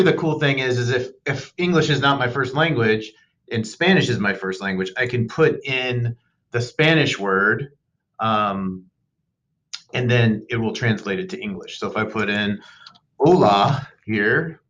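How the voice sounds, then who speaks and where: A man with a lowish voice talks through a spreadsheet demo for teachers. His delivery is relaxed and unscripted, dotted with ums and uhs as he clicks along. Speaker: teacher demonstrating software. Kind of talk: screen-recorded tutorial.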